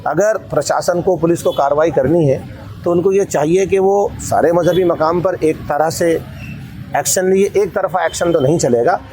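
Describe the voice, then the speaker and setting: Guy giving an earnest statement to the news, mellow tone, bit on the high side. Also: normal speed. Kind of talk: spoken political statement.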